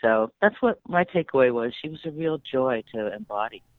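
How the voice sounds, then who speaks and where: A man's voice: mid-range voice, expressive, moderate pace, no echo. A man, a director and actor, explaining his view of a character with enthusiasm, heard over a phone line. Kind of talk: interview answer. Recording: tinny phone line, dry.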